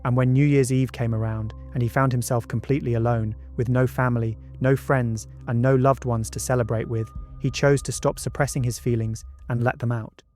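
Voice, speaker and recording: A man's voice: medium pitch, level tone, brisk, few pauses, warm tone. Male true-crime documentary narrator over music. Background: background music.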